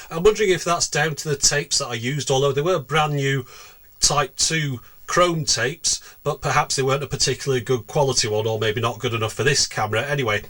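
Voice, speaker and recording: A man's voice: fairly high pitch, bright, forceful tone. A man narrating a technical demonstration in an explanatory way. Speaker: guest tech video maker.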